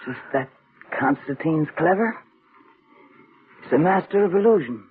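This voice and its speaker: Through a tinny old radio recording comes a mysterious, fatalistic woman of the magic stage. Her voice is low for a woman and husky, with wide dramatic swings, a secret schemer in a 1940s suspense play. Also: animated, measured pace, full character voice. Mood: guarded. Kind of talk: 1940s radio thriller acting.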